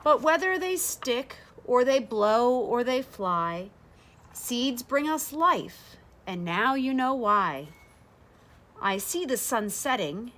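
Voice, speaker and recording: A woman reads a children's picture book aloud in a fairly high voice. Her pitch rises and falls in a lively way, and she takes her time, placing the rhymes deliberately and explaining as she goes. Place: small sunroom with large windows, indoors.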